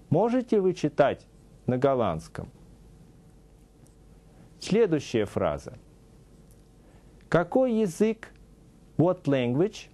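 A middle-aged man teaching a scripted TV language lesson, saying English example phrases slowly and deliberately, in a studio. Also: fairly high pitch, mellow. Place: TV studio with a news-desk set.

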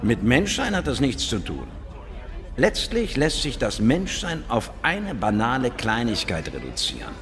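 An actor playing a confident, worldly biologist in a science fiction radio drama, dry and explanatory. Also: male voice, medium pitch, huge pitch swings, unhurried, a bit bright. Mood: wry, emphatic. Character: confident, worldly male biologist and space investigator. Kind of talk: radio drama dialogue and first-person narration. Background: music.

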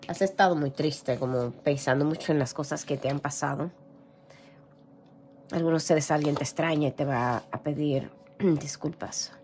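A hoarse woman reading tarot cards in a casual, gentle way, clearing her throat often. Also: deep voice, moderate pace, faint music behind. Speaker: tarot reader. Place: indoor room with a tarot table. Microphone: camera over table, hands seen.

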